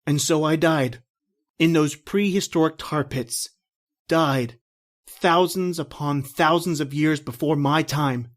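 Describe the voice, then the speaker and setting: A man's voice: a little high, takes it slowly. A man playing a guilt-ridden, terrified doomed man, declaiming urgently and melodramatically in old-time radio horror style. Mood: bleak, haunted.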